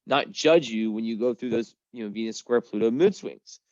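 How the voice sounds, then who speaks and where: A man's voice: mid-range voice, normal speed, darkish tone, no echo, muffled recording. A young man giving a casual astrology reading, rambling with lots of fillers, restarts and the odd swear. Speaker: astrology reader. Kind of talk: casual one-on-one chart reading.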